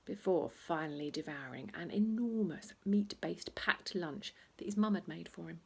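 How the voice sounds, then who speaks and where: A woman reading a children's bedtime story aloud in an expressive, playful way, acting out noises as she goes. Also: fairly low pitch, normal speed, gravelly, breathy edge. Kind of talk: animated bedtime story reading with sound effects and asides.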